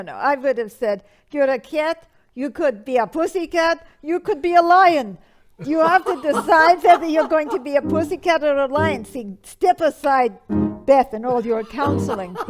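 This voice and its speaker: A woman's voice: on the high side, a little mellow. A woman as flamboyant dead madame Madame Mazurka. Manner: theatrical, calls people darling. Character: dramatic dead old madame, flamboyant advice-giver. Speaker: advice show persona.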